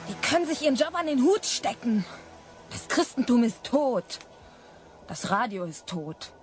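A woman's voice: on the high side, sing-song, a little slow. An actress playing a frightened, confused young woman on the run in a German radio crime drama, agitated and talking to herself. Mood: annoyed. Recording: music behind the voice.

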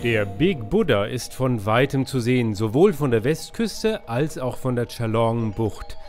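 Guy in his 40s doing a travel vlog, mid pitch, bit of music behind him. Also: animated, a little slow. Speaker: travel vlogger. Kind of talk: travel vlog talk and voice-over narration.

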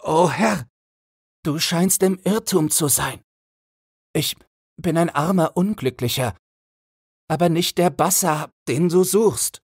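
A humble, wretched prisoner from a storybook, played by a male reader. He pleads plaintively and in despair, his husky voice pitched far above the narration. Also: slow, pauses often, no room sound, clean studio sound, exaggerated voice. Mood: pleading.

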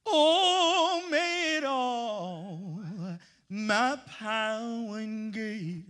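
A man playing a whining, self-pitying church deacon who blames everyone, lamenting in a drawn-out sing-song in a live stage play. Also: high-pitched, lively intonation, pushed and bright, exaggerated voice. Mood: mournful, singing. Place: large church hall, filmed from the audience. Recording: live hall recording with music.

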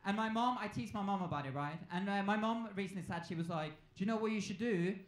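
A man's voice: sits high, fast. A man in his twenties with a Norwegian accent performing stand-up in a conversational way, playfully acting out exchanges. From Norway. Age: 20s. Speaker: stand-up comedian. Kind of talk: live stand-up comedy routine. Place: on stage in a comedy club. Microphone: handheld stage microphone. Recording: echoey live club sound with noise.

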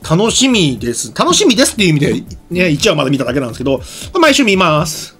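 Very fast, wildly animated middle-aged man. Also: fairly high pitch, soft background music. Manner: informal, frequent agreeing interjections. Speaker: co-host of an anime talk show. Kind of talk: casual chat and commentary. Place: small indoor studio. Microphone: desk microphone.

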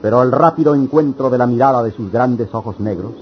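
A man's voice: medium pitch, a little fast, close to an everyday voice. A man narrating a radio drama in a solemn, poetic, scripted style. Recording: dull old radio recording. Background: music.

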